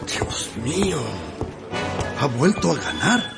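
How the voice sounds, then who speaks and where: A man playing a doubtful, astonished gentleman in a radio drama, speaking in a hesitant, musing way. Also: a little high, very animated, takes it slowly, creaky, music in the background, exaggerated voice.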